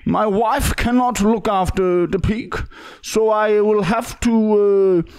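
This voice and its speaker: A man's voice: sits high, steady tone, slow, darkish tone, smooth. A young man voicing a pushy foreign man with broken English on a prank call, full of hesitant fillers. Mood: pleading. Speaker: prank caller. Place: small studio room indoors. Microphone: podcast mic on boom arm.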